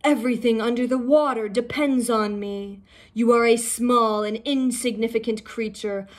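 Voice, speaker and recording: A woman's voice: a little high, steady tone, moderate pace, close to an everyday voice. Woman voicing an imperious, pompous old-storybook Dragon King. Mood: haughty, solemn. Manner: commanding, pompous. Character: imperious, impatient, ailing giant male Dragon King of the sea.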